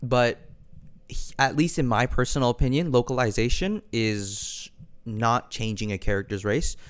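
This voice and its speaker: A man giving an opinion monologue as an online video commentator, measured and hedging his points with frequent personal qualifiers. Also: mid-range voice, smooth.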